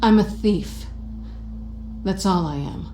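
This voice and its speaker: A woman's voice: medium pitch, takes it slowly, warm tone, natural voice. A woman voicing a sly, flirtatious, cynical cat-burglar antiheroine in a video game, with a teasing, sardonic manner. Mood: cold, dismissive. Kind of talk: cinematic video game voice acting. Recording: game soundtrack music behind, roomy reverb. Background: background music.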